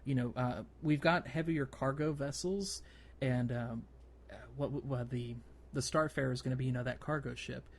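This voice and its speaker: A man hosting a casual gaming podcast, conversational and a little halting as he thinks aloud. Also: mid-range voice, steady tone. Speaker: podcast host. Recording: faint background noise.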